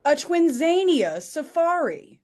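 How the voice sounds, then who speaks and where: A woman's voice: high voice, big pitch swings, takes it slowly, a little mellow, heavily acted. A woman actor at a table read playing a gushing female entertainment presenter, with theatrical promotional narration. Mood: breezy, showy. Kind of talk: tabloid-style promotional narration.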